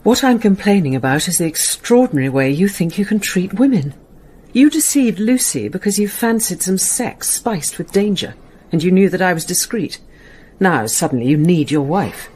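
Radio drama barrister: English, middle-aged, low and husky, bitter, imperious. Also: female voice, lively intonation, moderate pace, a little mellow. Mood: bitter, sarcastic. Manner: cutting, sarcastic.

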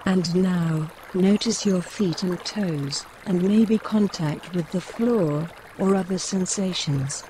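A woman guiding a mindfulness body scan, giving gentle, measured instructions with pauses between phrases. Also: on the low side, a little hiss or hum, rough recording. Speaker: meditation guide. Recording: running stream water behind voice. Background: trickling woodland stream.

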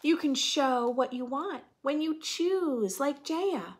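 A woman in her thirties reading a picture book aloud to preschoolers, expressively, in simple phrases with drawn-out key words. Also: high voice, animated, a little hiss or hum. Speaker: host of a children's read-aloud show. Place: indoor room with bookshelf and armchair.

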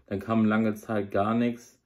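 A man's voice: fairly low pitch, little pitch movement, takes it slowly, a little mellow. A man in his thirties who has a cold, talking casually to a close camera in a small indoor room, rambling with many fillers. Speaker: audio drama reviewer. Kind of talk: casual review talk to camera. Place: small room under a sloped roof, indoors. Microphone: device camera at close range.

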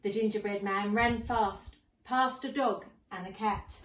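A woman in her forties reading a storybook to young children as a teacher, expressively and deliberately. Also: mid-range voice. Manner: deliberate, animated. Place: living room at home. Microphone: camera a few metres away. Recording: roomy, distant camera microphone.

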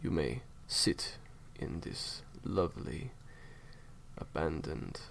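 A man's voice: a bass voice, big pitch swings, takes it slowly, gravelly, a bit bright, slight background noise, exaggerated voice. A man playing a menacing, cold villain in an audio roleplay, speaking in formal, theatrically polite threats. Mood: quietly threatening. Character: menacing, courteous male villain, cold captor. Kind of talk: roleplay audio drama monologue.

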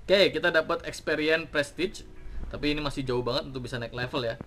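A man in his thirties giving excited play-by-play on a mobile game as he plays, cheering on his units, with a slight cough. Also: a little high, brisk, slight background noise. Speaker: gaming YouTuber. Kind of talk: mobile game commentary and tutorial. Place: indoor room at a desk. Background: game music.

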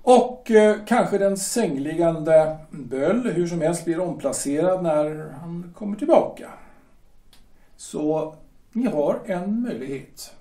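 An actor playing a shipyard director who talks in a measured, hesitant way with drawn-out pauses. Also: male voice, on the high side, lively intonation, warm tone, distant mic, natural voice. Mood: cool, probing. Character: smooth, veiled-threatening male shipyard director. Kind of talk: amateur audio drama acting. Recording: roomy echo, voice set back from mic.

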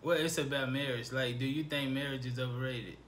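A young man guests on a relaxed studio podcast, laid-back and sparing with words. His mid-pitched voice comes across bright on a close studio mic, with faint noise behind him. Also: fairly quick. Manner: laid-back, brief replies. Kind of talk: casual podcast interview. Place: small indoor studio. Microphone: studio mic on boom arm.

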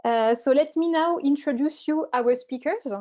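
A woman opens and hosts an online webinar in a formal, scripted way, dotted with fillers. Her voice is high yet mellow. The audio is slightly dull, like a conference call. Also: moderate pace. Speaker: event manager hosting a webinar.

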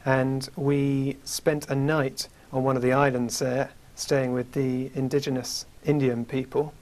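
A man in his thirties with a British accent, a guest in a studio interview, telling about his travels in a measured way with many fillers, on a lapel mic. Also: medium pitch, flat delivery, a little mellow. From United Kingdom. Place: small studio with curtain backdrop.

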